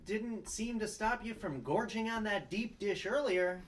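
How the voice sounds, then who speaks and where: A man's voice: high voice, a little slow, a little hiss or hum. A man at a comedy table read playing an earnest, idealistic young lawyer who speaks hesitantly. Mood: puzzled.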